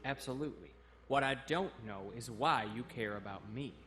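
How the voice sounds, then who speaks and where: A man's voice: mid-range voice, moderate pace, a bit bright, lightly acted. A man playing a young naval cadet and translator in a sci-fi audio comic, plainspoken, dutiful and respectful but guarded. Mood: defensive, proud. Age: young adult. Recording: faint music behind the voice.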